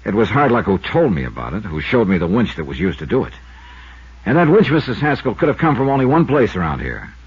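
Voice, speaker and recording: A man's voice: lowish voice, very animated, fast talker, slightly rough, heavily acted. A man playing a shrewd, unflappable investigator with an American accent in a 1950s radio detective drama. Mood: probing. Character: shrewd, unflappable male insurance investigator, probing and wry. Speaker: lead actor and narrator. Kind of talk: 1950s radio detective drama acting and first-person narration. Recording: dull, band-limited 1950s radio recording.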